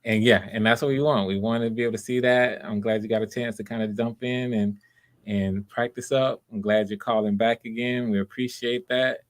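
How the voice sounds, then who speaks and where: A man's voice: lowish voice, steady tone, brisk. A man chatting casually and encouragingly as he hosts online auditions. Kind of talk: casual livestream chat.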